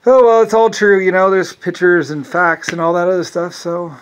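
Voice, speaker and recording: A man's voice: high-pitched, steady tone, normal speed, smooth. A man telling a long, meandering solo monologue, restarting often and circling back with repeated asides.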